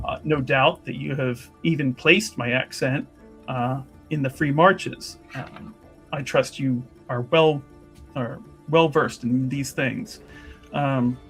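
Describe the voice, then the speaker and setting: A man acts out an earnest church investigator in a fantasy roleplaying game. His voice sits a little high for a man, and he speaks in formal, courteous, halting phrases, with music behind him. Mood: measured, formal. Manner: halting, formal, courteous, choosing words carefully. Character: earnest, diplomatic foreign chantry investigator in fantasy city. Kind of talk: improvised in-character tabletop roleplay.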